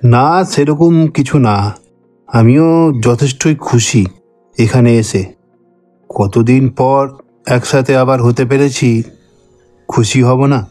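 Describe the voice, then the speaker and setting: A man reading a scripted cartoon ghost story, with deliberate, drawn-out phrasing. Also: mid-range voice, mellow, no room sound. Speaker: story narrator voicing old friends. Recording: dry voice over background music. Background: music.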